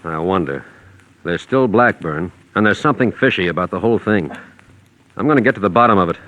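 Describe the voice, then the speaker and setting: An American actor playing a shrewd, dogged private investigator, calm and calculating, in 1940s radio mystery style. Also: male voice, deep voice, fairly quick, no echo, dull audio.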